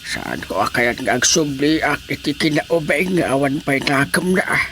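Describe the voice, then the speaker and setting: A woman's voice: low-pitched, lively intonation, normal speed, a bit bright, slight background noise. A woman acting a stubborn, insistent elderly villager in a radio drama, with an Ilocano accent.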